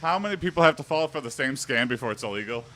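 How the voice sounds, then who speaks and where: A man's voice: medium pitch, animated, fast talker, sharp tone, a little hiss or hum. A man hosting a casual livestream from a room at home, chatting and answering viewers while he exercises on a bike, his mic set a little way off. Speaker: livestream host. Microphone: standing mic a little way off.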